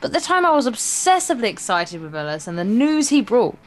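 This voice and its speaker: A woman's voice: fairly high pitch, very animated, fast talker, faint music behind. A woman reading visual novel text aloud as a let's play co-host, dramatic and jokey. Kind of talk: story read-aloud with playful banter.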